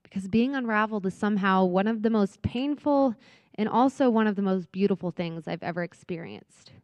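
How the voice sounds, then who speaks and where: A woman speaker at a church who closes a reflective talk and then leads the room in a soft, earnest prayer. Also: medium pitch, fairly quick, no echo. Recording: dull, very dry sound.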